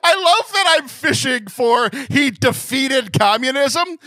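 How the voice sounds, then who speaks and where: A young man tells a story as a podcast co-host, in a high, bright voice that swoops up and down. He laughs often and slips into voices for the people in his story. The sound is close and dry. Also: expressive, slow, dry recording. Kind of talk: lively storytelling chat.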